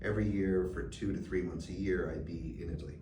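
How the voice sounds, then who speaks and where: A middle-aged man talks through his answers in a reflective, unhurried way, with plenty of fillers and restarts. His voice sits a little low. He is recorded from a distance in an echoing room with clear background noise. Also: steady tone. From Canada. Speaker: guest. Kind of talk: conversational interview answers.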